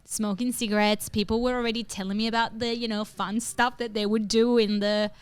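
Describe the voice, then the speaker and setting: A young adult woman from northern Italy co-hosting a podcast in casual chat, telling animated stories and leaping into high voices for quotes. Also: fairly quick, clear, resonant tone. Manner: animated storyteller, jumps into high acted quotes. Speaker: podcast co-host.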